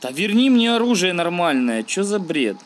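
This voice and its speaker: A man's voice: high voice, big pitch swings, moderate pace. Man doing casual gameplay commentary over noise. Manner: slangy, thinking aloud, grumbling. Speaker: gaming video host. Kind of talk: casual let's-play commentary.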